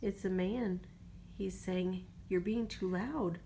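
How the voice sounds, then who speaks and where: A woman reading a picture book aloud to a child as a mother, in an expressive way. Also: on the low side, takes it slowly, slight background noise. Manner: expressive, drops to whispers for goodnights. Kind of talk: storybook read-aloud.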